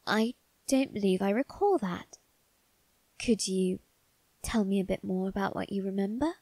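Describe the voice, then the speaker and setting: A woman voicing a doting, secretive young male vampire aristocrat in a soft, intimate ASMR roleplay, with refined, coaxing phrasing. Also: medium pitch, dry recording, close to an everyday voice. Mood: gently soothing. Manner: coaxing, gentle, unhurried, refined phrasing with tag questions. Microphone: close, dry microphone.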